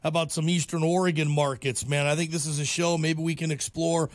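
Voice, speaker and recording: A male sports radio host with a fairly high voice in a dry studio. He chats casually and informally, like he is talking to a buddy. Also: level tone, fairly quick, dry recording. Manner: chummy, informal, calls guest "man" and "brother". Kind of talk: casual live radio chat.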